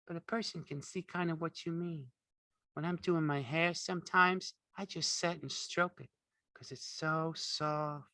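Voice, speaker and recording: A man's voice: fairly high pitch, fairly even intonation, unhurried, sharp tone, no room sound, pitched above the performer's own voice. A man voicing a vain, chatty young ranch wife with a casual, folksy, self-satisfied way of talking, in an audiobook. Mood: teasing. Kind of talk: audiobook character acting.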